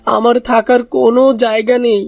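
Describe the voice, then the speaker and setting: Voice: mid-range voice, flat delivery, brisk, creaky, a little mellow, close to an everyday voice. An actor voicing a lonely, rejected young duckling in a cartoon fairy tale, earnest and plaintive. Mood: sad, plaintive. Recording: music behind the voice.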